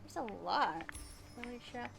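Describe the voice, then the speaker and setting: A woman's voice: on the high side, expressive, a little slow, gravelly. A woman livestreaming a video game, giving casual play-along commentary in an offhand way, often muttering to herself. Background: game music.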